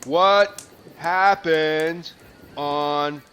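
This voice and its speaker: Man voicing a blunt castaway, fairly high, faint hiss behind. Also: drawn-out words, a bit bright. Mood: plain. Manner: plain, questioning, swears.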